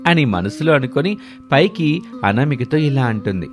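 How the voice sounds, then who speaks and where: Male storyteller for animated moral tales: medium pitch, scripted delivery, faint music bed. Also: moderate pace. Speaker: storyteller narrator.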